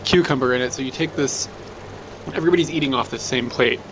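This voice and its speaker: A man's voice: medium pitch, quick pace. A man narrating casually on location, explaining things step by step in a rambling way. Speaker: travel vlogger. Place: public places while travelling. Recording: noisy on-location travel recording.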